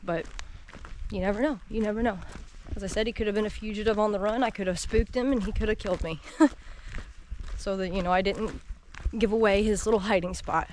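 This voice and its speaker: A woman's voice: medium pitch, fairly quick, darkish tone. A woman in her thirties chatting to her camera on a wooded trail, rambling and laughing often. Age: 30s. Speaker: solo hiker vlogger. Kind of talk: casual walking vlog chat. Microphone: action camera mic carried while walking. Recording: outdoor background noise.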